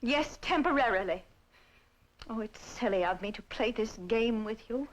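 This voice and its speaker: An actress playing a middle-aged, sharp-tongued, mocking aunt in a radio drama, haughty and articulate. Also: female voice, fairly high pitch, normal speed, slight background noise, close to an everyday voice, low-quality recording. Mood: dry, scornful. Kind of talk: old-fashioned radio drama acting.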